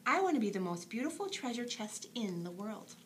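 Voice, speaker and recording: A woman's voice: a little high, sing-song, brisk, background noise. A woman voicing an earnest little tree with big dreams in a children's bedtime story. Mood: eager, dreamy.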